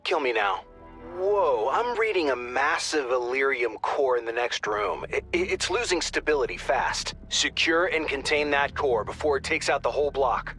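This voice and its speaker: A man's voice: medium pitch, animated, normal speed, bright, forceful tone. A man playing a dry, wisecracking intelligence officer of a tactical squad in a video game. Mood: wry, friendly, then alarmed. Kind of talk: scripted game dialogue. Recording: music behind the voice.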